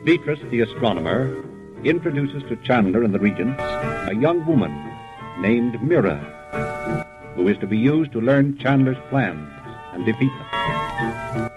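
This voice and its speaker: Loud music plays under a man narrating a 1930s radio serial. His voice is moderately low as he sets the scene in announcer style. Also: expressive, unhurried, pauses often, low-quality recording.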